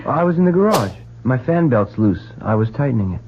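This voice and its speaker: An actor playing an evasive young student son in an old-time radio drama, casual and slangy in manner. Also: male voice, mid-range voice, big pitch swings, a little fast, phone-quality sound, a little hiss or hum. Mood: evasive, troubled. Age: young adult. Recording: thin, band-limited old radio recording.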